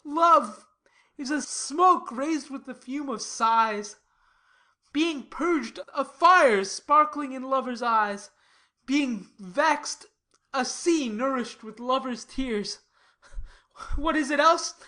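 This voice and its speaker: A woman's voice: high voice, animated, slow, bright, forceful tone, exaggerated voice. A woman playing a lovesick, brooding young nobleman in a dramatic Shakespearean reading, with a sighing, melodramatic delivery. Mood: anguished, passionate.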